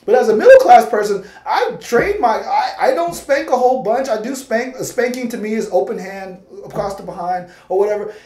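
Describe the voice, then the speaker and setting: High-voiced man in his forties, animated. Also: a little fast, hardly any pauses, roomy sound. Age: 40s. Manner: animated, gesturing. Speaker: sociology professor. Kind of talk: casual discussion. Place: small room, yellow wall.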